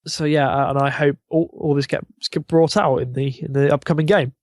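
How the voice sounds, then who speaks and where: A man with a fairly high voice chats casually as a guest on a podcast. He rambles eagerly like a fan, and the sound is dry and close. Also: fairly even intonation, fairly quick, a little mellow, dry recording. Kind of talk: casual chat.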